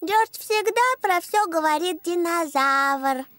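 A woman's voice: very high-pitched, animated, takes it slowly, a bit bright, no room sound, full character voice. A woman voicing a cheerful, bossy little girl piglet in a children's cartoon. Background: music.

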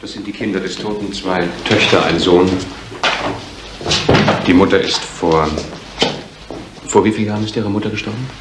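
A man's voice: low-pitched, fairly even intonation, moderate pace, pauses often. A man playing a businesslike police investigator in a radio crime drama, clipped and official. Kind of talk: 1970s German radio crime drama acting. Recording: noisy old radio recording.

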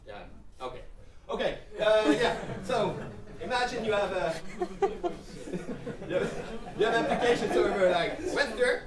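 A man's voice: high voice, distant mic, slight background noise. Joking man giving a keynote in an echoey room. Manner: joking, self-mocking, playful asides. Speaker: keynote speaker. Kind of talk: humorous conference keynote. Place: event room with stage lighting, audience. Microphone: handheld mic, filmed from the back of the room. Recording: echoey room recorded from afar.

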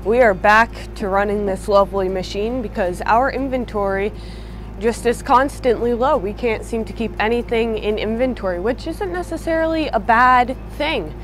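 A woman's voice: a little high, normal speed. A young woman talking casually and upbeat to her viewers at an outdoor sawmill, recorded on a camera mic a few feet away. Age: young adult. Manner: chatty, upbeat, addresses viewers directly. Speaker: sawmill vlogger. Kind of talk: casual vlog talk to camera.

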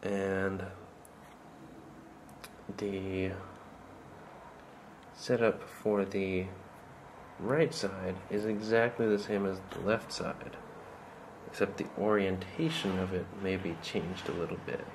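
A man giving an informal, halting demonstration of an electronics project, thinking aloud as he goes. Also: low-pitched, fairly even intonation, background noise. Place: indoors at a tabletop workbench. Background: music playing from small speakers.

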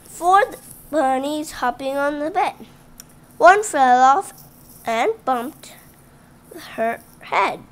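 Female read-aloud host, high and bouncy pitch, unhurried, halting reading with chatty asides; phone mic over a tabletop at home. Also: expressive. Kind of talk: reading a picture book aloud to children. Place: indoors at home, book on a table.

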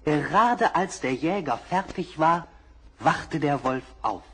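A man's voice: a little high, expressive, slow pace, slightly rough. A man narrating a fairy tale for children, addressing the listeners directly, in a 1960s radio play. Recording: crackly 1960s vinyl record.

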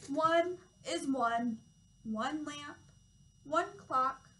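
A woman's voice: high voice, extremely slow, a bit bright, poor audio. A young woman, a toddler teacher, reading a picture book aloud with simple, instructive phrasing aimed at small children. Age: young adult. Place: small classroom, indoors.

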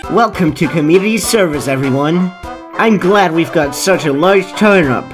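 A woman plays a flippant cartoon official with a slightly low voice. The character is brazen and evasive, and music runs behind the lines. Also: expressive, normal speed, natural voice. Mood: breezy, evasive. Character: brazen, flippant judge running community service, poorly disguised. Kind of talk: comic dub voice acting.

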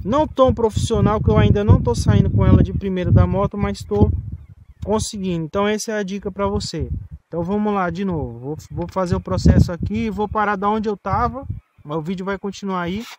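A man's voice: high-pitched, a little fast. A man in his late twenties with a São Paulo Brazilian accent, chatting casually and reassuringly as he explains things in a rambling way. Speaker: vlogger, learner rider. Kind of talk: casual instructional chat. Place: outdoors on a rural dirt road. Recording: outdoor phone recording, loud motorcycle engine noise.

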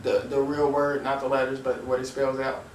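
A man's voice: medium pitch, little pitch movement, moderate pace. A young American man making a prank phone call. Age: teenager to young adult. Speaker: prank caller. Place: small room indoors on a couch. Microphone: camera a few feet away. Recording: echoey, distant camera mic, faint noise.

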